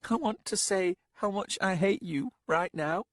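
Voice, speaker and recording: A man's voice: sits high, unhurried, dry recording, clean studio sound, pitched above the performer's own voice. A man voicing a young noblewoman who is tearful, insistent and defiant. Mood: upset. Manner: pleading, sharp and insistent.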